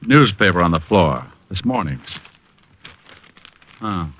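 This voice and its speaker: A man's voice: sits low, big pitch swings, fairly bright tone, no room sound, heavily acted. An actor playing a tough, wisecracking male private detective in an old radio drama, who also narrates the story. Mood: wry. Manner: wisecracking, quick comebacks. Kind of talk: 1950s radio drama acting.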